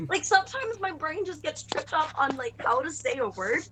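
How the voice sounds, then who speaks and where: A woman's voice: high voice, big pitch swings, measured pace, a bit bright, poor audio. A bubbly young woman cosplayer chatting on a podcast, gushing and filling every sentence with "like". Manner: bubbly, gushing, fills speech with "like". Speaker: cosplayer guest. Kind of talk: casual podcast chat.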